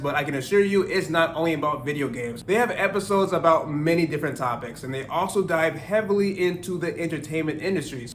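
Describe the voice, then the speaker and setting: A man's voice: fairly high pitch, a bit bright, slight background noise. A young man teaching Spanish learning resources in a scripted tutorial, friendly and explanatory, slipping Spanish phrases into his English. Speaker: language-learning YouTuber.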